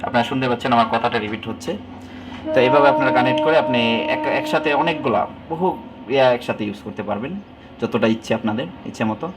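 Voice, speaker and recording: A man's voice: medium pitch, quick pace. A man with a Bangladeshi accent giving an informal, loosely improvised product demonstration, repeating himself and reaching for filler words. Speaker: product presenter for a walkie-talkie seller.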